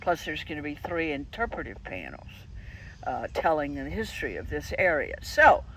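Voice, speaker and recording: A woman's voice: low-pitched, big pitch swings, normal speed, a bit bright, far from the mic, slight background noise, poor audio. A woman hosting a casual interview outdoors, introducing guests and asking questions in a conversational way. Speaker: interviewer and host. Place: outdoors on a dirt and grass lot by a railroad track.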